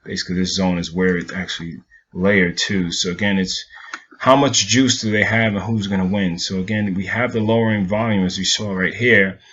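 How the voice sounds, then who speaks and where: A man's voice: low-pitched, fairly even intonation, normal speed, a bit bright, slightly rough, highs cut off. A man from Jamaica giving a casual stock market recap over charts, rambling and repeating himself.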